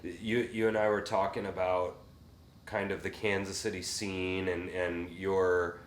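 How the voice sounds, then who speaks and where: Male host, low voice, rambling chatty delivery, roomy recording. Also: flat delivery, measured pace, a little hiss or hum. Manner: rambling, frequent fillers and restarts. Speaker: podcast host and fiddle player. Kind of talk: casual interview chat. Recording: roomy with faint ambience.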